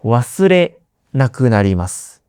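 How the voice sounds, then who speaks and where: A man hosting a slow podcast lesson for Japanese learners, explaining words in simple terms with playful asides. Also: mid-range voice, very animated, a little mellow, smooth, no room sound, clean studio sound. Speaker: Japanese language teacher.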